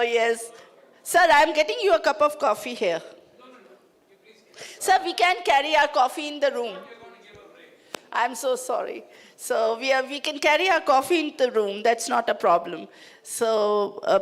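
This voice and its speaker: A woman with an Indian English accent, moderating a conference session in a chatty, hesitant way. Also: high-pitched. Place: conference hall. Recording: reverberant hall.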